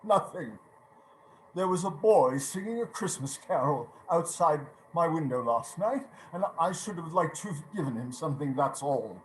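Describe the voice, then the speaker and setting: An actor playing a shaken, remorseful old miser in a radio play, hesitant and emotional, turning from gruff to tremulous. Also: mid-range voice, lively intonation, normal speed, a little hiss or hum, natural voice, low-quality recording. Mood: regretful. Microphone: video call.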